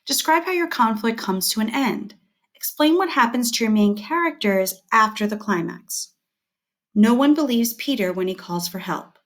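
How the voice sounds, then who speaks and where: A woman teacher reading scripted instructional material aloud, setting out headings and short points in a measured, deliberate way. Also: fairly high pitch, lively intonation.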